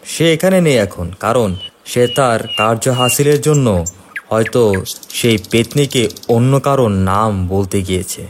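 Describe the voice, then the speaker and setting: A man's voice: lowish voice, a little hiss or hum, lightly acted. A man voicing a shrewd, resolute investigator in a horror cartoon, explaining things earnestly. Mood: explanatory. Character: shrewd, resolute male investigator exposing a culprit.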